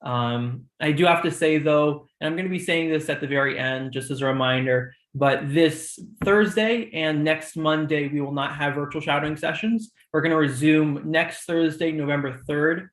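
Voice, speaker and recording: A man's voice: a little high, steady tone, normal speed, hardly any pauses, fairly bright tone, reverberant. A young man hosting a student shadowing live stream, giving organized reminders with frequent ums. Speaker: host of a student shadowing program.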